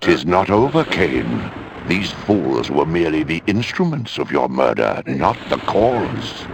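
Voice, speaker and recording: An actor playing an ominous, commanding ancient spirit in a game, speaking in a portentous, archaic, drawn-out manner. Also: male voice, rumbling low, sing-song, vocal fry, heavy background noise, full character voice. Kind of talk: dramatic video game acting. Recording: loud music over the voice.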